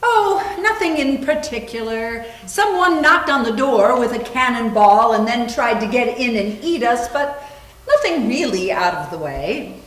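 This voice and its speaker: A woman's voice: on the high side, lively intonation, unhurried, fairly bright tone. A woman playing a wry, flippant but shaken young woman in a haunted-house readers theater piece. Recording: echoey room.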